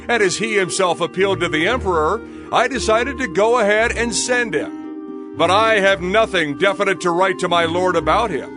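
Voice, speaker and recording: A high male voice plays a commanding Roman governor in scripture drama. His delivery is formal and oratorical, addressed like a public ruling, with music prominent behind him. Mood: proclaiming, emphatic. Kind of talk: dramatized audio Bible acting.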